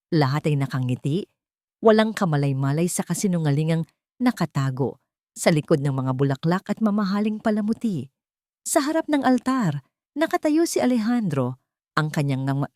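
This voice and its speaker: A woman reading a scripted dramatic story in a measured, deliberate way, with short pauses for effect. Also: on the low side, darkish tone, dry recording, clean studio sound. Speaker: story narrator.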